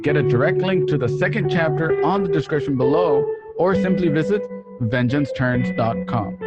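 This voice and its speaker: A man delivering a scripted promo as the announcer of a radio show, with guitar music playing behind him. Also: medium pitch. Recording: dull lo-fi sound under loud music.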